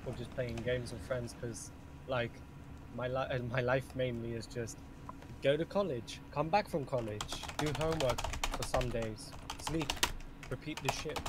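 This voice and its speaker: A young Englishman talks loosely over a game stream, cursing freely and poking fun at himself. His voice sits at an ordinary middle pitch. Heavy noise hisses loudly under everything he says. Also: fairly even intonation, measured pace. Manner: swearing, rambling, self-mocking. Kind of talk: casual voice chat while gaming. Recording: loud background noise.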